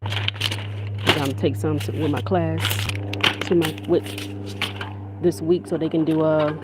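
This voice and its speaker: An adult teacher chats loosely on a phone livestream from a backyard garden. The talk rambles, with restarts and trailing thoughts. A running generator leaves steady noise behind the voice. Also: medium pitch, unhurried. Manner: rambling and chatty, with many restarts. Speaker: teacher of young children. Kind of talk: casual livestream chat while gardening. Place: outdoor yard garden. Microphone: handheld phone livestream. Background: generator hum and vehicle noise.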